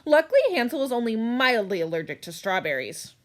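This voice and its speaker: A woman's voice: fairly high pitch, very animated, a little fast, voice pushed down. A woman voicing an exasperated, dramatic storyteller who bickers with the characters of a comic storybook tale. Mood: put-upon. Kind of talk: comic storybook read-aloud.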